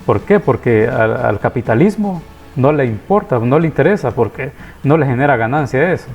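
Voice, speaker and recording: A man's voice: mid-range voice, expressive, normal speed, darkish tone, husky, soft background music. A man from Honduras giving a reflective spoken course presentation, hesitant, with many restarts and fillers.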